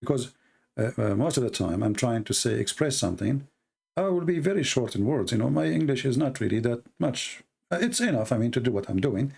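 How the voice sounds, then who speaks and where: A man's voice: mid-range voice, expressive, a little fast, gravelly, a little mellow, breathy edge. A man with an Arabic accent, a native Arabic speaker from the Middle East, hosting a talk show in blunt, mocking casual commentary with broken English grammar. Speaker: debate show host.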